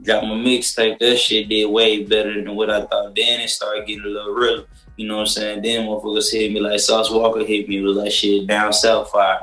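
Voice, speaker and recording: Laid-back young man, low and flat. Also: a little fast. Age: 20s. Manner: laid-back, loose slang, many fillers. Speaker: rapper guest. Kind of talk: casual interview chat. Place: living room couch, indoors. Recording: roomy echo, faint music.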